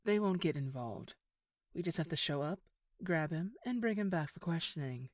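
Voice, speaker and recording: A woman playing an unflappable reformed criminal ex-girlfriend in an audio drama, measured and dryly sardonic. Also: low voice, expressive, darkish tone, no room sound. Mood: persuasive.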